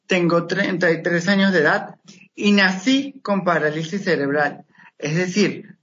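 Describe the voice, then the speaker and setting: A man in his thirties, a motivational speaker, answering interview questions in a measured, step-by-step way over a remote connection. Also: sits high, a bit bright, dull audio. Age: 30s.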